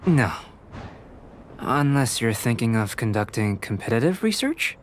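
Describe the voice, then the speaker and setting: A man voicing a polite, analytical young alchemist who speaks in a measured, precise way, in video game voice acting. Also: medium pitch, very animated, slight background noise. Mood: calm. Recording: game audio with faint background music.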